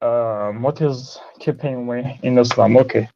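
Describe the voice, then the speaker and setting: A man from Turkey with a Turkish accent calling in to a debate, hesitant and soft-spoken. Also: mid-range voice, unhurried, creaky. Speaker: caller. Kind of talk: debate over a call. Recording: muffled call audio.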